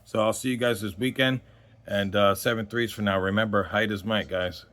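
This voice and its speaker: A man's voice: fairly low pitch, steady tone, moderate pace, fairly bright tone. A man in his forties giving a casual, unscripted gear review as host, in a rambling conversational way that trails off mid-thought. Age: 40s.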